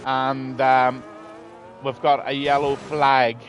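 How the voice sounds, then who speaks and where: A man's voice: medium pitch, flat delivery, slow, highs cut off, a little hiss or hum. A man, losing his voice, commentating a live online race in a rambling, blunt way with lots of ums. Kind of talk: live sim racing commentary.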